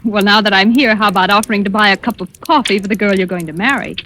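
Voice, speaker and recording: An actress playing an affectionate, teasing rich young debutante with an inquisitive, coaxing way in a 1940s radio drama. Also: female voice, mid-range voice, quick pace, bright, forceful tone, lightly acted. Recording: noisy old radio recording.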